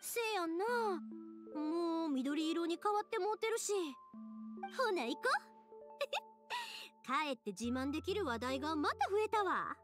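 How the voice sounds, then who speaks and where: Bubbly Kansai girl, very high, swooping voice. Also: a little slow, music in the background. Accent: Kansai Japanese. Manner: bubbly, friendly, expressive. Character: cheerful, energetic, warm-hearted young woman. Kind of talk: anime game voice acting.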